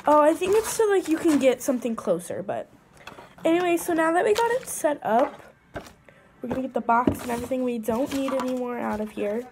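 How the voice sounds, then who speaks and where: A young woman vlogger with a stuffy nose from a cold, chatting excitedly as she unboxes things at a table. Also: high-pitched, brisk, background noise. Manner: chatty, excitable, rambling with fillers. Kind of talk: casual unboxing chat. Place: indoors at a wooden table.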